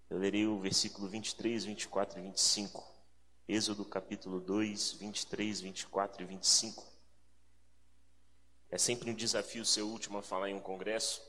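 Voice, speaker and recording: A man's voice: lowish voice, a bit bright, slight background noise. Carioca man preaching in a reverberant hall. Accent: carioca Brazilian Portuguese. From Rio de Janeiro, Brazil. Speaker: guest preacher. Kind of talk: sermon, conversational with prayer and scripture reading. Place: large reverberant indoor meeting space, speaking to a congregation.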